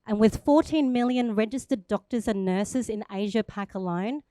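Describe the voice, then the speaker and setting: A woman in her forties, a native Thai speaker from Thailand, giving an upbeat, chatty startup pitch on stage and laughing at herself now and then. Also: moderate pace, dark tone, no echo.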